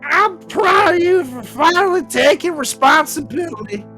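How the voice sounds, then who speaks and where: A man voicing a loud, dramatic, drunk mother in a shrill falsetto, with music behind. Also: animated, moderate pace, exaggerated voice. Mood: stern, slurry pride. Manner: screeching, melodramatic.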